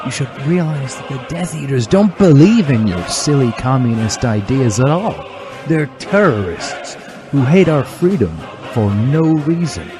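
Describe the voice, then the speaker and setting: A man voicing a smug, condescending older corporate professor, lecturing in a patronizing, theatrical way for a comic story reading. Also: medium pitch. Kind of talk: mock-dramatic fan fiction reading. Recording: music behind the voice.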